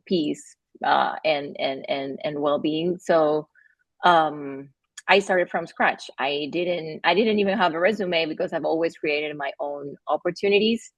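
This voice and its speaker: A woman with an Argentinian accent tells her story in a casual interview. Her voice sits low with a gravelly edge. She pauses and restarts often, recorded dry and close. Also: no room sound. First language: Spanish. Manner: halting, with many fillers and restarts, laughs between phrases. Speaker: guest, company founder.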